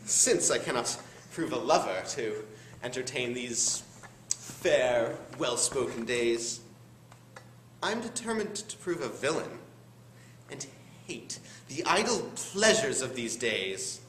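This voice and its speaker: A teenage male student performing a bitter, deformed, scheming duke in a theatrical, deliberate Shakespearean stage monologue. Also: medium pitch, lively intonation, a bit bright. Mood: scheming, menacing. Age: teens. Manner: theatrical, deliberate, dramatic pauses. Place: high school classroom. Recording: roomy classroom recording, faint background noise.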